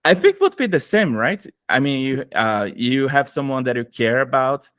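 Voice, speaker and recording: A middle-aged man from Brazil with a Brazilian Portuguese accent, talking eagerly as a podcast guest and often pausing to search for words. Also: medium pitch, sing-song, fairly bright tone, dry recording, studio quality. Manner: enthusiastic, searching for words, frequent fillers. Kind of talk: casual interview conversation.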